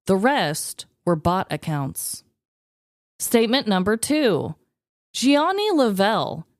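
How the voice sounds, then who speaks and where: A woman co-hosts a casual chat podcast with a very lively, varied melody to her voice. Her pitch sits in a middle range and her manner is gossipy and conversational. The sound is close and dry. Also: no echo. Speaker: podcast co-host.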